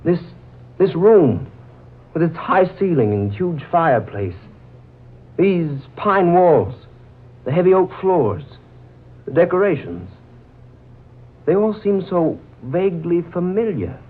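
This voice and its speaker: A man's voice: high voice, sing-song, slow, long pauses, heavily acted. An actor playing a courteous, puzzled man, hesitant and musing, in a 1940s radio drama. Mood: awed musing. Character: courteous, puzzled gentleman haunted by odd familiarity. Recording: muffled, crackly old 1940s radio recording.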